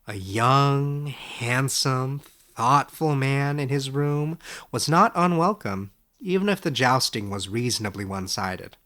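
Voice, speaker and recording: A man narrating a fairy tale from a script in a measured storytelling manner. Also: mid-range voice, lively intonation, fairly bright tone. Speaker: audiobook narrator.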